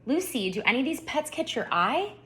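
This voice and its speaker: A woman voicing a kind, encouraging mother in a children's storybook, with a gentle, reassuring manner. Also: sits high, very animated, fairly quick, a little hiss or hum, pitched above the performer's own voice, exaggerated voice. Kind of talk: children's storybook read-aloud.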